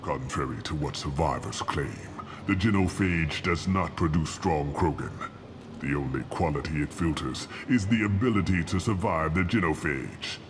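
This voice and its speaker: A man voicing an arrogant, ruthless old alien warlord scientist in a video game, speaking with grandiose, deliberate contempt. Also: rumbling low, background noise. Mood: grand contempt. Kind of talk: video game dramatic acting.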